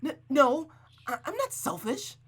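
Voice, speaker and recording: A woman's voice: sits high, sing-song, a little slow, voice pushed up. A woman voicing a frightened young daughter in a visual novel, stammering and pleading. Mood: scared pleading.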